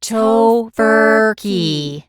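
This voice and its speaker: A woman's voice: fairly high pitch, drawn-out words. A woman as an exasperated adult daughter.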